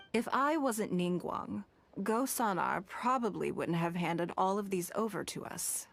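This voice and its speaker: An actress playing a poised, shrewd wealthy businesswoman in a video game, speaking in a measured, elegant, formal way. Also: mid-range voice, vocal fry, slight background noise. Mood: composed, businesslike.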